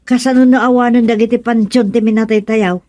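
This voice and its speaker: A woman's voice: on the high side, steady tone, brisk, warm tone, dry recording, natural voice. A woman playing a worried, superstitious village wife and mother with an Ilocano Filipino accent, fretful and exclamatory in radio comedy-drama style. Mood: alarmed.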